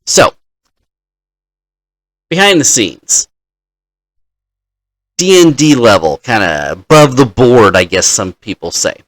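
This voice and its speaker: Slow-paced man telling game stories. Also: mid-range voice, big pitch swings, no echo. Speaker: dungeon master recounting game sessions. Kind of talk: casual storytelling to camera.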